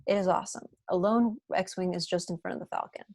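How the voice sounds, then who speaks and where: A woman's voice: on the low side, fairly even intonation, brisk, a little mellow, dry recording, clean studio sound. A woman narrating the stage directions of a screenplay at a table read.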